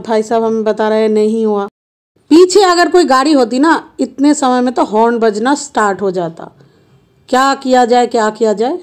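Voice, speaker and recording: Slow-talking chatty woman vlogger. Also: fairly high pitch, a little mellow. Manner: chatty, playful, unhurried. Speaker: travel vlogger. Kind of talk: casual travel vlog narration. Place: car and roadside stops.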